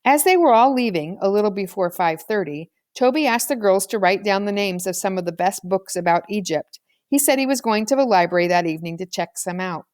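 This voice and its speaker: A woman's voice: mid-range voice, animated, fairly quick, a little mellow. A woman reading a children's novel aloud as scripted audiobook narration. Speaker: audiobook reader.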